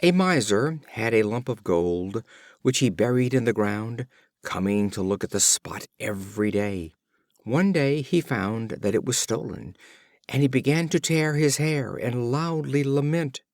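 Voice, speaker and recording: A man reading short fables as an audiobook narrator, with measured, expressive storytelling phrasing. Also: mid-range voice, no echo, clean studio sound. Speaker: audiobook reader.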